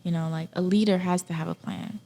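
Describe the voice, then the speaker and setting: A woman's voice: fairly low pitch, fairly even intonation, fairly quick, warm tone. A woman from Haiti speaking casually and earnestly as an interview guest, repeating key phrases for emphasis. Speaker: podcast guest.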